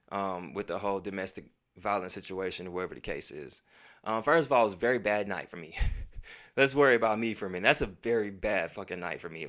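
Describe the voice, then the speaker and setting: An American man telling a casual personal story in heavy slang and profanity, acting out bits of dialogue as he goes. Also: fairly low pitch. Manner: profane, slangy, animated retelling. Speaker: storytelling vlogger.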